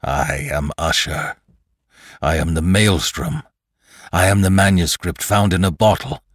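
A man's voice: low-pitched, measured pace, no room sound, clean studio sound. A man voicing a delirious dying man who raves and cries out as he is carried to the flames. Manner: raving, rising to a wild scream. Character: dying man raving in a crematorium.

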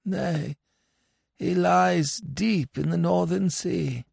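A mournful old villager acted by a male reader talks from the grave. The voice is raised in pitch and drawn out, with archaic storybook phrasing, close and dry. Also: vocal fry, darkish tone, slightly rough, no room sound, heavily acted. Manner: slow, mournful, archaic speech. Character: mournful sixty-year-old dead Breton villager recalling losses. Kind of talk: dramatic storybook reading.

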